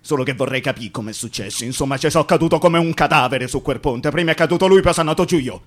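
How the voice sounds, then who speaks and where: A man acting a rambling Roman workman's ghost, high-voiced. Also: fast talker, a bit bright, natural voice. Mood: bewildered, recounting. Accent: Roman Italian. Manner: rambling, colloquial, incantatory repetition. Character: dead male construction worker, bitter, tender, rambling ghost. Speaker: stage actor. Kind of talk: theatrical radio monologue in dialect.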